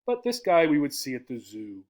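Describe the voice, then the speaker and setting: Higher-voiced American man teaching English vocabulary. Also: sing-song, normal speed. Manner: didactic, repeats words and checks with "right?". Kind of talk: vocabulary lesson explained slowly to learners.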